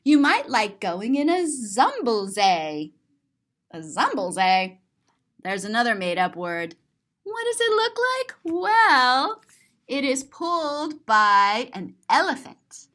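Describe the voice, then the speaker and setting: Female children's storybook reader, high voice with lively swoops, playful and expressive, describes pictures simply. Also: takes it slowly. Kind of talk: animated read-aloud to children, describing pictures.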